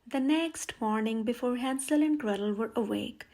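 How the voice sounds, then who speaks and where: A woman with a high-pitched voice narrates a fairy tale for children. She reads it aloud as a storyteller would from a picture book. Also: normal speed, a little mellow. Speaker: storyteller, channel host.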